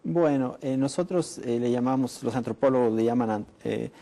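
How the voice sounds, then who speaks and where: Mexican doctor, calm and mellow. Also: male voice, mid-range voice, gravelly. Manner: measured, frequent "eh" pauses. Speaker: public health doctor, guest. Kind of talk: studio interview, explanatory.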